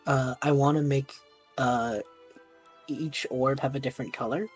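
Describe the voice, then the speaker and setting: A husky woman chatting casually as a player in a tabletop role-playing game. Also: a bass voice, fairly even intonation, moderate pace, faint music behind.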